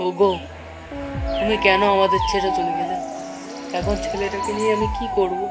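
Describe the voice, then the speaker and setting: Grieving widowed father voiced by an actor, soft, sorrowful, music bed. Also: mid-range voice, little pitch movement, moderate pace, natural voice. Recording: with music behind.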